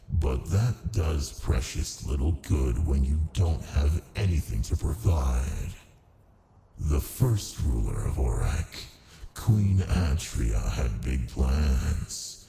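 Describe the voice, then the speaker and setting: Male actor as a massive archdemon, extremely deep gravelly voice, slow, smug, seductive, ASMR roleplay. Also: noisy background, heavily acted. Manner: smug, condescending, languid.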